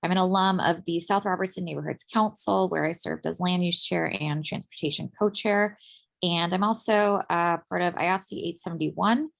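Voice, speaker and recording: Gravelly-voiced woman giving a casual update. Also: on the low side, a little fast. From Ukraine. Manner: relaxed, many uh and um fillers. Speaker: steering committee member. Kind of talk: casual online meeting update.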